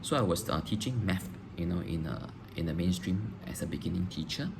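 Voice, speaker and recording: A man, a teacher answering interview questions on video, pausing often with ums and restarting phrases. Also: sits low, expressive, vocal fry. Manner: hesitant, frequent ums and restarts. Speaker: teacher applying for a job. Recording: noisy background hiss.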